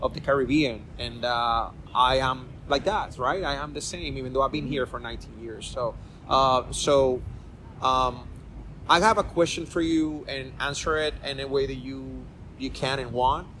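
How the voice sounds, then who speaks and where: A middle-aged man hosts a relaxed interview podcast. His voice sits at an ordinary pitch, and he loops back over his own words as he talks. A soft hum of background noise lingers underneath. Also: moderate pace, rough recording. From Puerto Rico. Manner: rambling, repeats words and restarts often. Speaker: podcast host. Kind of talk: casual interview chat.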